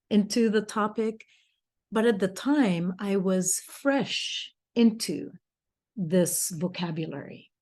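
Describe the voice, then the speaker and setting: A woman co-hosting a talk show, telling a personal story in a rambling, easygoing way with small laughs. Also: fairly low pitch. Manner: rambling, restarts, soft laughs. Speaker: co-host and speaker. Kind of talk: storytelling in casual chat.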